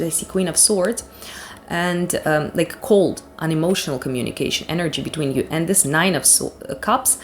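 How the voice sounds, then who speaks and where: A woman with a Ukrainian accent gives a casual tarot reading in English in a slightly low voice. She rambles frankly and drops in the odd swear word. Faint noise sits behind her voice. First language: Ukrainian or Russian. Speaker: tarot reader.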